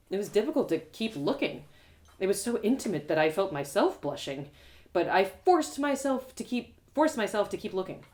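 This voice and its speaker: Woman reading a novel out loud, expressive narrating, really lively pitch, medium register. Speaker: storyteller reading a novel aloud. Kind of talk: expressive first-person fiction narration.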